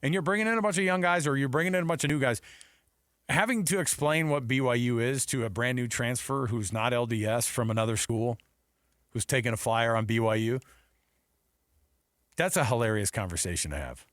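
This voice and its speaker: Man, American accent, medium pitch, dry studio sound, casual sports talk co-host, conversational. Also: a little fast, fairly bright tone, no room sound.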